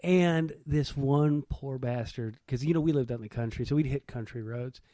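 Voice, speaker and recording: A man's voice: medium pitch, moderate pace, warm tone, no echo, studio quality. A middle-aged man chatting casually and telling anecdotes with wry, irreverent humor.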